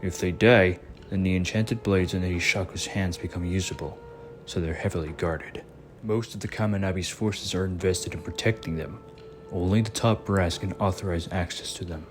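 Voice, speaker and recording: This is a wry, careful ally from an anime world, thinking aloud in a deep, low register. He talks in a measured, reasoning way and never rushes to a conclusion. A man acts him out in a fan dub, with soft music playing beneath. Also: fairly even intonation, natural voice. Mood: explaining. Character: laid-back, cautious adult man, a sword-world ally.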